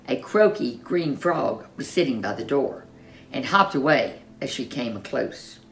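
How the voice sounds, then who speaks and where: Woman, low-pitched, animated storybook narration, dramatic emphasis, faint music behind. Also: unhurried. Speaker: children's storyteller. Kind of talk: expressive storybook narration for young children.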